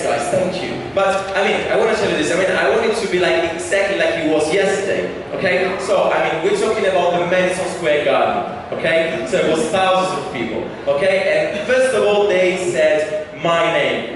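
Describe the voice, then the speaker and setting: Chatty male comic in echoing hall. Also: fairly high pitch. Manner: chatty, joking, full of fillers and asides to the audience. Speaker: stand-up comedian. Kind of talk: stand-up with crowd work. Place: comedy club stage. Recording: echoey hall, distant mic, noise.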